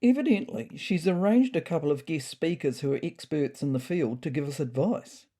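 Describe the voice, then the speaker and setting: A woman's voice: low-pitched, moderate pace, mellow. A woman voicing a male cat friend in a comic story, explaining news in a matter-of-fact way. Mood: relaying news.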